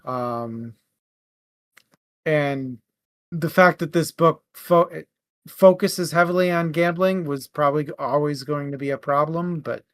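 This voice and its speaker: A man co-hosting a book club podcast, giving his opinion casually and haltingly, as if thinking aloud. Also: on the high side, no room sound. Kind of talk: casual book review talk.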